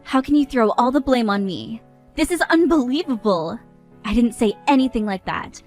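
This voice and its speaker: A woman's voice: fairly high pitch, expressive, moderate pace, faint music behind, close to an everyday voice. A woman voicing an exasperated young bride who scolds others in an indignant tone.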